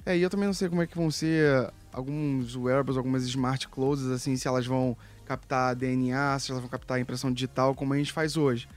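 A man's voice: mid-range voice, steady tone, brisk, hardly any pauses, soft background music. A man on a casual technology podcast, thinking aloud and speculating about future gadgets. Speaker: podcast co-host. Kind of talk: casual tech podcast chat.

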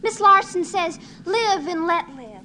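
A woman's voice: very high voice, animated, a little slow, bright, forceful tone, full character voice. A female performer playing a prim, know-it-all, precocious little girl in 1950s radio comedy style. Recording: faintly noisy 1950s radio recording.

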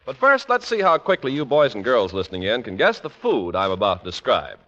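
A man's voice: medium pitch, sing-song, a little fast, sharp tone. An American man announcing a 1940s radio show and its sponsor on an old broadcast recording. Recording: dull, band-limited 1940s radio recording.

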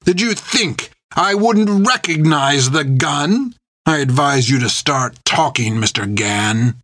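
A man voicing a cruel older pirate captain who spits his words out in a seething, shouting rage. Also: mid-range voice, animated, slow pace, no echo, studio quality, full character voice. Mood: furious.

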